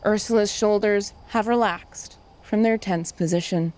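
A woman's voice: mid-range voice, a little slow, a little mellow. A woman host giving scripted dramatic narration of a story.

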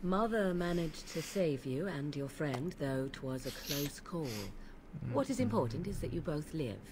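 Casting: a haughty young fantasy-game witch, sardonic and archaic in phrasing, low expressive voice, noisy ambience behind. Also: a little slow. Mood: grave, detached. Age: young adult. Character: aloof, sardonic young woman witch of the wilds. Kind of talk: fantasy video game acting.

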